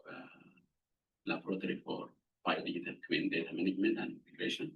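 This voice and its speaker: A middle-aged man from Sudan, speaking, gives an earnest, measured lab introduction as a professor, pausing to find words. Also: sits low, a bit bright. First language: Arabic. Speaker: professor presenting his lab. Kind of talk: prepared lab introduction talk. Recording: voice somewhat far from mic.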